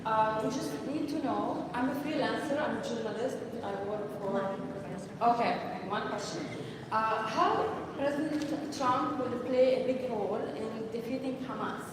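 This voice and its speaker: Brief: Lebanese-accented female journalist, slightly high voice, halting delivery, distant in an echoing hall. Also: noisy background. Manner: hesitant, many pauses. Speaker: journalist asking a question. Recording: echoey hall from far off, loud crowd.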